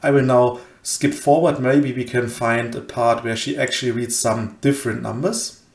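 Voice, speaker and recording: A man gives an informal explainer over slides. His voice sits at an ordinary pitch, and the room adds a clear echo around it. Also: steady tone, normal speed, a little hiss or hum. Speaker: presenter of an educational cryptology channel. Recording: roomy, echoey home recording.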